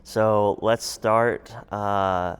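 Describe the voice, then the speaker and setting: A man's voice: on the low side, steady tone, drawn-out words, smooth. A male host interviewing a guest in a friendly, curious way with short reactions. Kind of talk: casual interview.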